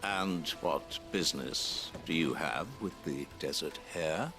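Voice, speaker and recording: An actor playing a shrewd, guarded lord in a video game, with a gravelly voice and formal, dry manner. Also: male voice, rumbling low, slow pace, full character voice. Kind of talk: video game cutscene acting. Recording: music under the dialogue.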